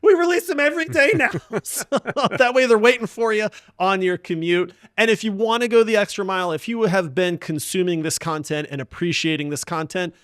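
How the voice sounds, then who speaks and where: A man's voice: sits high, fairly quick, few pauses, fairly bright tone, smooth, dry recording, clean studio sound. A man hosting a podcast in casual chat, with an enthusiastic, informal way of talking. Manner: enthusiastic, informal, addresses others as "man" and "dude". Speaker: podcast host.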